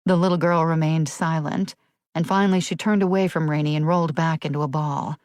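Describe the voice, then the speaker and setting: A woman's voice: low voice, fairly even intonation, a little fast, darkish tone, dry recording, studio quality. A woman reading a thriller audiobook as a scripted, dramatic narration.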